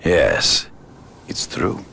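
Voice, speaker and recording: Hunter baddie, super deep gravelly voice, bright edge, music behind. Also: male voice, huge pitch swings, a little hiss or hum, exaggerated voice. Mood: measured. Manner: formal, grave, deliberate. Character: proud Russian hunter villain, man, middle-aged, formal and menacing. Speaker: villain.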